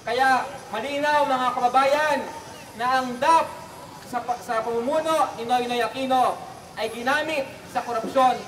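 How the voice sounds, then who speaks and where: A man's voice: very high voice, fairly even intonation, unhurried, a bit bright. A man with a Filipino accent giving an activist's rally speech in short, repeated phrases. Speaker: protest speaker, activist. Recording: echoey, distant rally sound, faint noise.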